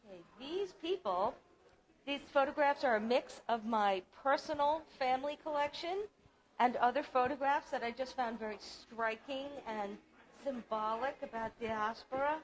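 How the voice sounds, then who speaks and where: A woman's voice: high voice, moderate pace, low-quality recording. A woman artist in a museum gallery, earnestly and reflectively describing her family photographs. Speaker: exhibiting artist. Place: art museum gallery, indoors.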